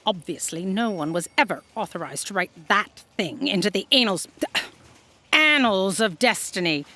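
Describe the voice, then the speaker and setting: A woman's voice: medium pitch, animated, normal speed, sharp tone. A woman playing an imperious, self-serving female boss in a scripted comedy audio drama, pompous and authoritative. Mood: haughty.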